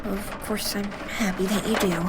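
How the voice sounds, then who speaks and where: A woman's voice: medium pitch, level tone, moderate pace, noisy background, natural voice. A woman playing a teasing, sassy young female AI companion in a video game, with a playful, mock-formal manner. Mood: earnest, reassuring.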